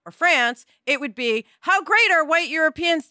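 A woman's voice: sits high, lively intonation, normal speed, sharp tone, no echo, clean studio sound. A middle-aged woman co-hosting a casual podcast, talking in an emphatic, gushing, conversational way. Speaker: podcast co-host.

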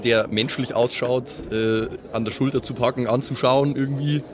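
A man's voice: medium pitch, steady tone, normal speed. A man with a southern German or Austrian accent, chatting casually as a player in an improvised tabletop role-playing game.

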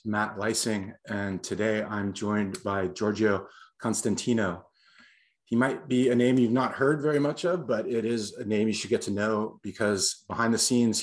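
Male interview host, lowish voice, easygoing and hesitant. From Los Angeles. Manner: halting, many "uh" pauses. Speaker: podcast host. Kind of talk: conversational interview hosting.

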